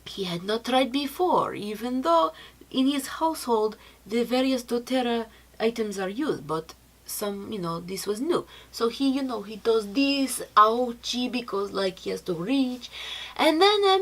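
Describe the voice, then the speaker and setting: A young woman with a fairly high voice speaks informally to camera as a presenter. She tells anecdotes and testimonials, acting out other people's remarks as she goes. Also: animated, a little slow, poor audio. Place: small decorated indoor set.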